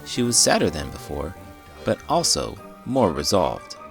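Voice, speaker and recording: A man reading a children's picture book aloud in a theatrical, expressive way. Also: deep voice, measured pace, gravelly, over music. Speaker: host, children's book reader. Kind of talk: animated storybook read-aloud narration.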